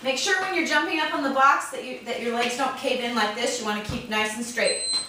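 A young adult woman with a croaky voice from a cold, chatting casually and coaching a workout in a garage. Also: fairly high pitch, fairly quick, heavy background noise. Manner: chatty, upbeat, counts reps while exercising. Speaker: fitness vlogger. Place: large garage with metal door. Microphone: far camera. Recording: echoey garage from far off.

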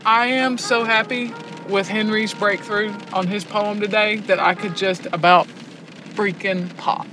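A woman's voice: steady tone, a little slow, over music. A woman talking casually in an interview, emphatic and reflective, making her points like a teacher. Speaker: head of a prison arts education program, poetry teacher. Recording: noisy location recording, background clatter and rumble.

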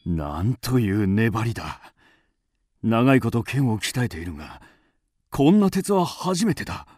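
A man's voice: on the low side, very animated, unhurried, darkish tone, breathy edge, exaggerated voice. A man playing a loyal, driven master swordsmith, earnest and intense, in a radio drama. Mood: obsessed, weary.

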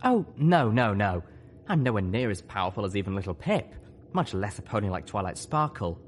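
A modest young stallion healer, played by a man in a storybook. He is friendly and cheerful, with lively inflection. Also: lowish voice, a little fast, slight background noise. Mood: amused, modest. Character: modest, friendly young male unicorn medic pony.

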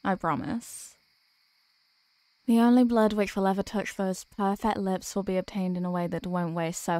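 A woman acting as a devoted, centuries-old vampire girlfriend in an intimate ASMR roleplay, speaking softly and formally in a caressing, protective way. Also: medium pitch, dry recording, lightly acted. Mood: tender, reassuring. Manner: soft, slow, formal, caressing delivery. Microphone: close microphone.

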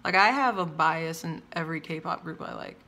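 A young woman rambling in a casual vlog rant, with a loud, projecting voice, chatty and prone to wandering off and interrupting herself, recorded on a phone held at arm's length in a room at home. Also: low voice, normal speed. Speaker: vlogger. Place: indoors by large windows, home room. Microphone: phone camera at arm's length.

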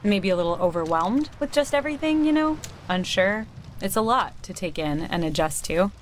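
A woman's voice: medium pitch, animated, moderate pace, a little hiss or hum, natural voice. Snide, needling teenage girl, played by a woman. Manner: sarcastic, dry, goading. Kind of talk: naturalistic video game voice acting.

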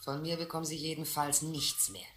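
A woman's voice: deep voice, flat delivery, fairly quick, fairly bright tone. An actress playing a familiar, plain-spoken woman who tends an island bar in a radio play. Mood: stern. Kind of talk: German radio play acting. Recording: roomy, very noisy, clattering bar effects.

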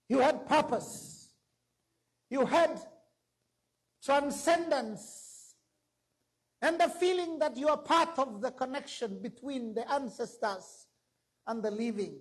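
A man's voice: extremely high pitch, expressive, slow pace, pauses often, slightly rough, poor audio. A middle-aged man preaching a sermon from a church stage into a handheld mic, emphatic and rising to exclamations. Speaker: pastor.

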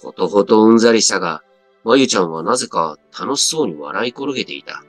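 A man's voice: on the low side, expressive, brisk. A man reading a scripted first-person story as a dramatic narrator. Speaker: story reader. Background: background music.

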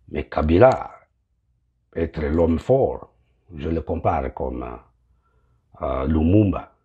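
Pastor, middle-aged man, very low and slow, muffled phone sound; gropes for words, French speaker. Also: dark tone, slightly rough. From Congo. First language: Kinyamulenge. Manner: halting, searching for words. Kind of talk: direct-to-camera address. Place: small indoor room.

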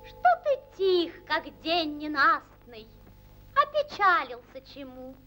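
A woman voicing a tender, regal magical swan, declaiming verse with a drawn-out lilt in an old animated film. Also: high-pitched, full character voice, rough recording. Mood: tender, solemn. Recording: old 1940s film soundtrack, faint music.

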